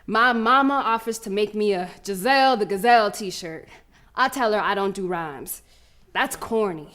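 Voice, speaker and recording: High-voiced, fierce young girl. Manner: animated, brash, streetwise storytelling. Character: fierce, proud young girl runner telling her own story. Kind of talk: dramatic first-person monologue.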